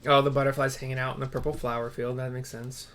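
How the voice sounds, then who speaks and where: A man's voice: mid-range voice, flat delivery, fast, fairly bright tone. A man giving casual game commentary, rambling and muttering to himself as he plays. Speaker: gaming streamer. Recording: faint noise with keyboard typing.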